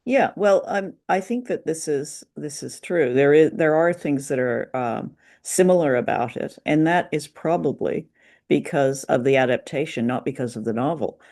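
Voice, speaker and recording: Low-key creaky-voiced woman in conversation. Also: moderate pace, darkish tone. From Canada. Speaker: podcast co-host. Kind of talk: conversational discussion and review.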